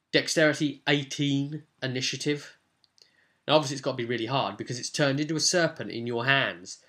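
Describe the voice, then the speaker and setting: Bright-voiced man recapping a tabletop game. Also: mid-range voice. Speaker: game master recapping a tabletop role-playing session. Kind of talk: casual spoken session recap.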